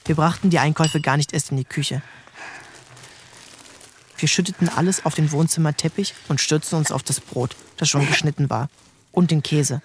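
A man's voice: fairly high pitch, steady tone, normal speed, slight background noise. A man giving scripted literary narration as the narrator of an audio drama. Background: faint music.

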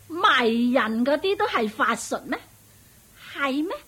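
A woman's voice: high voice, pushed and bright, heavily acted. A woman playing a shrewish, domineering and vengeful witch in a radio drama, nagging and taunting in Hong Kong Cantonese. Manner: nagging, taunting, drawn-out emphatic delivery. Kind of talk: theatrical radio drama acting.

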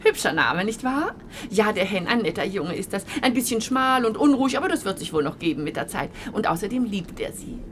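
A woman's voice: medium pitch, very animated, a little fast, close to an everyday voice. An actress playing a chatty, motherly middle-aged aunt in a radio play, gushing and a bit bossy. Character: chatty, bustling, conciliatory aunt. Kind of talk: German radio play acting. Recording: noisy old radio recording.

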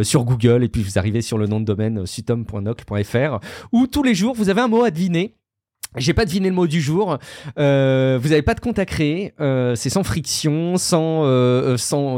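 A man hosting an interview, introducing a game and his guest at a brisk pace with some fillers. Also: mid-range voice, darkish tone, dry recording, clean studio sound. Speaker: interview host. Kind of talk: casual podcast interviewing.

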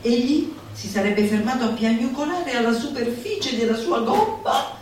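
A woman's voice: fairly high pitch, animated, normal speed, darkish tone, slight background noise. A woman gives a theatrical, declamatory and mocking recitation, stretching words for emphasis. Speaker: actress performing a literary monologue. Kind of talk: theatrical recitation of a satirical manifesto. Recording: very echoey room, distant, music behind. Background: music.

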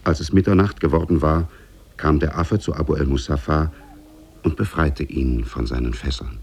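Really deep croaky storyteller guy, 50s radio fairy tale, husky and deliberate. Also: darkish tone, full character voice. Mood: narrating. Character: male storyteller of an Arabian Nights tale. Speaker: narrator. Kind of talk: scripted storybook narration in a 1950s radio play.

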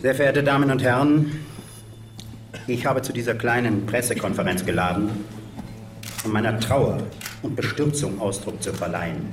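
An actor playing a grave populist politician in a radio drama, giving a measured formal statement. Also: male voice, medium pitch, close to an everyday voice. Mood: solemn, rhetorical. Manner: measured, solemn, rhetorical. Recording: roomy, distant mic, music behind.

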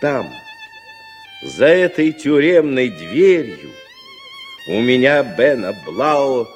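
An actor playing a steadfast imprisoned man who tells his own story in lyrical, measured radio-drama narration. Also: medium pitch, big pitch swings, soft background music. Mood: hopeful, steadfast. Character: steadfast imprisoned hero Ben, recounting his arrest. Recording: 1960s radio recording, strings behind.

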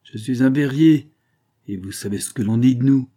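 A man's voice: on the low side, moderate pace, warm tone, lightly acted. A man reading an audiobook, voicing a drunken, bitter glassmaker husband who bullies and wheedles, emphatic and sardonic. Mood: menacing.

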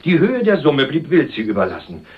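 An actor playing a gloating, murderous young heir in a radio crime drama, with a mocking, sneering manner. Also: male voice, a little high, huge pitch swings, a little fast. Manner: mocking, sneering, laughs scornfully. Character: gloating murderous young heir, scornful, cold-blooded villain. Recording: dull, muffled radio play recording.

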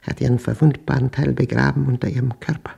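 An actor playing an obsessed, paranoid man in a radio drama monologue, speaking intensely in halting, clipped fragments as he battles a machine he fears. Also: medium pitch, steady tone, creaky, dark tone, slight background noise. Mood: panicked frenzy.

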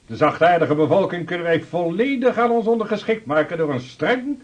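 Man doing an explorer, big lively declaiming, kinda muffled recording. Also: high voice, a little fast. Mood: rapturous. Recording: dull lo-fi recording.

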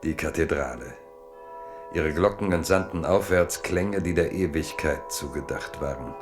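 A man's voice: extremely low pitch, measured pace, over music. A man reading literary narration for a radio play.

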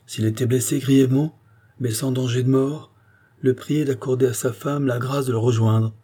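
A man's voice: mid-range voice, fairly even intonation, moderate pace, warm tone, breathy edge, voice pushed up, natural voice. A man voicing a proud, jealous queen in a historical novel, speaking with breathless, imperious emphasis. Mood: breathless anguish. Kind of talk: dramatic audiobook character acting.

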